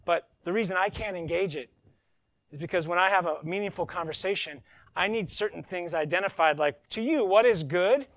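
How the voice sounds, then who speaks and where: A man's voice: high-pitched, a little fast, fairly bright tone, no echo. A man preaching a sermon, reading scripture with emphasis and breaking into conversational asides. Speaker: pastor.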